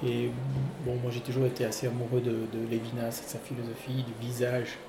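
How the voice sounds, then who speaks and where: A man's voice: mid-range voice, completely flat delivery, brisk. A man giving a thoughtful, hesitant interview answer. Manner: hesitant, thoughtful, many fillers. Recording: echoey room with noise.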